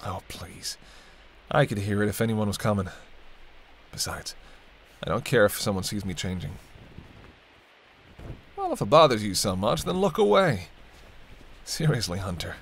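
A man's voice: fairly low pitch, animated, normal speed, gravelly, long pauses, fairly bright tone, a little hiss or hum. A man playing a sardonic, teasing vampire in an audio roleplay, talking casually and wryly to the listener as a reluctant companion. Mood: exasperated, bantering. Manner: wry, bantering, casual, muttered asides. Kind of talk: intimate audio roleplay acting addressed to the listener.

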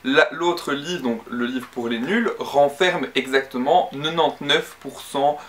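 A man's voice: medium pitch, a little slow, a bit bright. A young man, a student, chatting earnestly to camera in a casual vlog from his bedroom, with plenty of fillers and restarts. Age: young adult. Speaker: student vlogger. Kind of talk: casual study-tips vlog. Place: bedroom with bookshelf and screen.

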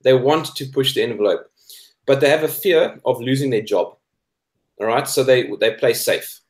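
Man, South African accent, thirties, emphatic debater, mid-pitched voice, roomy echo. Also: moderate pace. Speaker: guest, cartoonist. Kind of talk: opinionated debate chat. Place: indoor room, video call. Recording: echoey room over video call.

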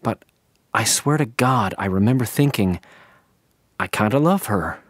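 A wistful husband at a funeral. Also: male voice, on the low side, animated, no room sound. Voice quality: soft, halting, musing. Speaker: actor. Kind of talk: radio drama monologue.

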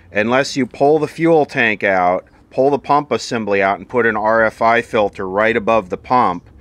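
A man's voice: lowish voice, fairly bright tone, clear, resonant tone. A man giving casual, off-the-cuff commentary as he looks over vintage electronics, with dry, sardonic asides. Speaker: vintage electronics repair host.

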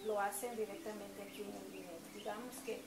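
A woman's voice: medium pitch, fairly even intonation, brisk, over a noisy crowd. A woman in her thirties with an Ecuadorian accent, answering informal interview questions as winery staff, hesitating as she searches for words. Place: covered brick patio at a winery. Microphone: camera a few metres away. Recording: echoey, distant camera mic, loud ambient noise.

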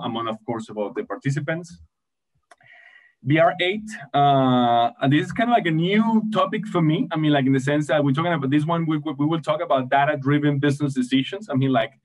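A man's voice: medium pitch, low-quality recording. A man introducing panel moderators on an online conference call, rambling with many fillers and repeated phrases. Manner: rambling, many fillers like "I mean, like" and "you see". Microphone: video call webcam.

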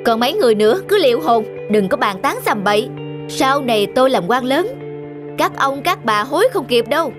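A woman's voice: high voice, animated, fairly quick. A woman voicing a vain, haughty, poor male scholar in a cartoon folktale. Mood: haughty, threatening. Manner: boastful, haughty, demanding. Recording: music behind the voice.

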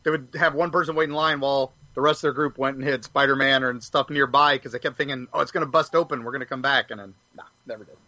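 A man's voice: medium pitch, steady tone, quick pace, few pauses, sharp tone, no room sound. A man chatting casually as a panelist on a podcast. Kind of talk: casual chat. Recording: dull, lo-fi audio.

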